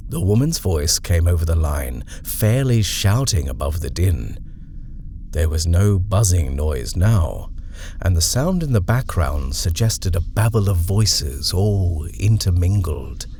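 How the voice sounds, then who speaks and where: A man reads a horror story aloud in a measured way. His voice is very low, yet his intonation moves freely and expressively. The reading stays steady and deliberate. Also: a little hiss or hum. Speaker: narrator.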